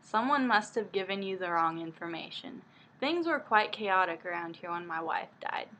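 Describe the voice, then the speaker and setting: A woman's voice: lowish voice, lively intonation, normal speed, fairly bright tone, slight background noise, poor audio. Woman reading as composed, defensive middle-aged father.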